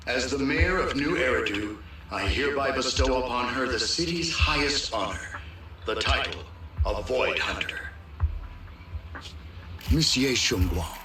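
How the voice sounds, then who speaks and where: An actor playing a dignified, solemn city mayor giving a formal, oratorical speech in a game. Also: male voice, mid-range voice, sharp tone, a little hiss or hum. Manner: oratorical, stately. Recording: echoing public-address sound, music behind.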